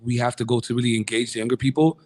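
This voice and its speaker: A man's voice: mid-range voice, fairly even intonation, very fast, darkish tone. A young man hosting a casual talk show, playful and slangy, joking with his guest. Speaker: host.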